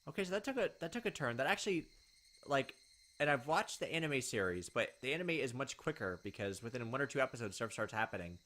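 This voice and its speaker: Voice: medium pitch, big pitch swings, quick pace, fairly bright tone. A let's play host reading a visual novel aloud and dropping in offhand, casual commentary. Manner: reads aloud and drops in offhand asides. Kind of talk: game narration with casual commentary.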